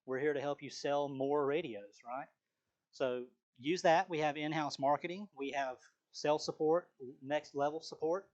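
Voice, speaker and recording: A man's voice: fairly high pitch, moderate pace, a little mellow, muffled recording. A man leading a live sales training on a video call, talking casually with frequent fillers and restarts.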